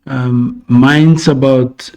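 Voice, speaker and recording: A man's voice: mid-range voice, fairly even intonation, drawn-out words, darkish tone. A man from Ghana, co-hosting a podcast in a reflective, word-searching manner. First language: Twi. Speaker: podcast co-host and filmmaker. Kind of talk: casual conversation.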